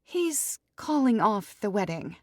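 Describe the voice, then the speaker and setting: A woman voicing a hesitant, caring young maid of honor in an audiobook, careful and gentle in manner. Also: medium pitch, big pitch swings, slow, no echo, clean studio sound, voice pushed up. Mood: reluctant. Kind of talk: audiobook character dialogue.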